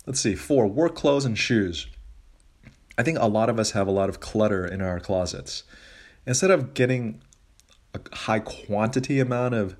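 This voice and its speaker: A middle-aged man hosting a solo personal finance podcast, talking casually and giving emphatic advice with colloquial asides. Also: mid-range voice. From grew up in Asia and Zambia, living in the United States.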